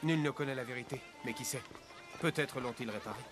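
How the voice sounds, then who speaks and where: A man's voice: medium pitch, brisk, music in the background, lightly acted. A man playing a grave townsman in a cartoon drama, passing on news in a subdued, matter-of-fact way. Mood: grave, speculative. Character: grave male townsman passing on war rumours. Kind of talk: animated drama dubbing.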